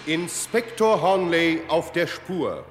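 A man's voice: on the high side, lively intonation, slow, music in the background. A man dramatically announcing titles for a vintage radio crime series, with long echo on his voice.

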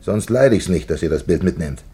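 A man's voice: low voice, expressive, fairly quick, warm tone, breathy edge, exaggerated voice. A man acting in a German radio play as a stern, solemn older village father, grave and terse in his commands. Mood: grave, commanding.

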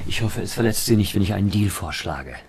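An actor playing a polite, probing negotiator in a radio play, courteous, measured and businesslike. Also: male voice, lowish voice, expressive, background noise. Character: polite, probing male negotiator interested in scientific papers.

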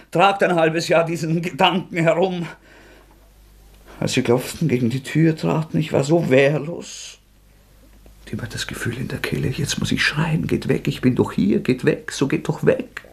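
An actor playing a tormented, defiant young man confessing to murder in an agitated, anguished German radio drama performance, with a husky voice that often breaks. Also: on the high side. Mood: agitated, desperate. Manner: agitated, anguished, halting outbursts.

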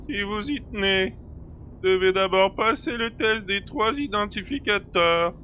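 A man's voice: high voice, unhurried, lo-fi sound, slight background noise. An amateur actor playing a wary, imperious male director in an audio drama, speaking formally and curtly. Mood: tense.

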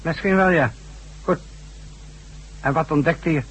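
An actor playing a ruthless, coolly mocking chief of a secret organisation in a Dutch radio drama, husky and commanding. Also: male voice, fairly high pitch, unhurried, a bit bright, slight background noise, low-quality recording. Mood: cool interrogation.

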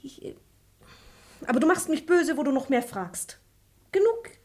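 A woman's voice: high voice, lively intonation, measured pace, dark tone. A woman playing a naive, headstrong young girl of a good house in a classic comedy radio play, pert, girlish and impetuous. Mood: pert, pouting.

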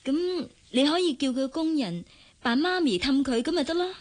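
A woman with a Hong Kong Cantonese accent acting in a radio drama as a gentle, caring secretary with a soft, coaxing way of talking. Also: high voice, expressive, moderate pace. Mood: helpful. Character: gentle, caring female secretary posing as a mother.

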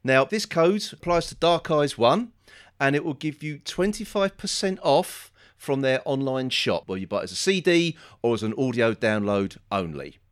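A man's voice: medium pitch, animated, moderate pace, smooth. A man co-hosting a podcast, friendly and a little hesitant, laughing easily. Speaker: podcast co-host. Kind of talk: casual podcast chat.

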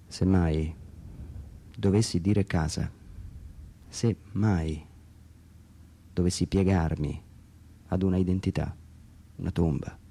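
Man, dramatic radio-theatre reading, husky, dark mellow tone, brooding, theatrical delivery. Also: low-pitched. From Umbria, Italy. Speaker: author reading own text.

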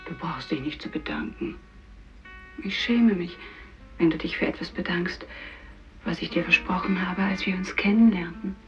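A woman's voice: fairly low pitch, normal speed, long pauses, close to an everyday voice. Casting: woman playing devoted fisherman's wife, earnest and intimate radio-play delivery, dull old recording over music. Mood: tender, tearful. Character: tender, anxious young fisherman's wife saying farewell. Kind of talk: 1950s-style German radio drama acting.

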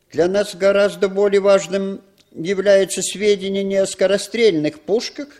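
Male spymaster, played by an actor, fairly high voice, commanding and slyly ironic, theatrical 1960s Soviet radio play style. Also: a little mellow, lightly acted. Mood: ironic, instructive. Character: male Western spymaster chief, urbane, cynical, commanding.

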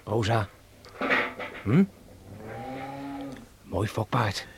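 A man acting an affectionate grown son with a gentle, sometimes hesitant manner in a radio play. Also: on the low side, very slow, creaky, full character voice. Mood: relaxed, interested. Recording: slightly noisy old radio recording.

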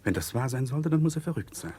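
An actor in a 1960s German radio drama playing an incredulous, scandalised upper-class card player. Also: male voice, mid-range voice, sing-song, fast, warm tone, a little hiss or hum, close to an everyday voice.

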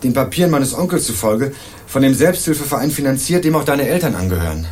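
An actor playing an earnest young male student investigator in a radio crime drama, narrating and questioning in a measured, dry way. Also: mid-range voice, animated, darkish tone, slight background noise, close to an everyday voice. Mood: earnest, probing. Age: young adult. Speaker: narrator and lead character.

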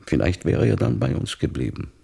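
An actor playing a worried, pious old father in a radio drama, brooding and plaintive, with a gravelly, husky voice. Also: extremely low pitch, big pitch swings, fairly quick, dark tone, soft background music, exaggerated voice. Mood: bitter regret. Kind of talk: literary radio drama acting.

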